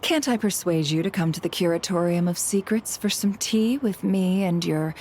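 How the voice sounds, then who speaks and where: A woman's voice: fairly low pitch, moderate pace, darkish tone, slight background noise, lightly acted. Woman as genteel, coaxing hostess. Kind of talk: video game voice acting.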